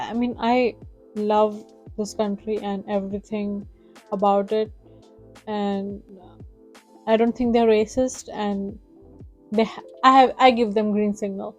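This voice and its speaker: A woman's voice: medium pitch, little pitch movement, unhurried, darkish tone, faint music behind. A young woman whose first language is Hindi tells her own stories in a chatty, casual way, with many fillers and acted-out quotes. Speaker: vlogger. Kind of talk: casual storytelling to camera.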